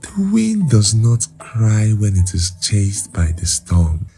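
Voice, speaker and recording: A man voicing a gentle, resilient young orphan woman in a folktale, speaking earnestly. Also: lowish voice, huge pitch swings, slow pace, faint music behind, pitched above the performer's own voice, exaggerated voice. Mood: sad, resigned.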